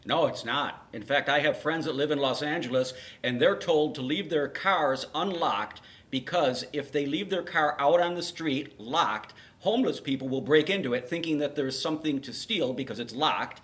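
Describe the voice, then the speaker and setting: A man's voice: on the high side, moderate pace, few pauses, fairly bright tone. A man co-hosting a call-in show, talking bluntly and casually with firm political opinions. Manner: blunt, agreeing firmly. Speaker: talk show co-host taking calls.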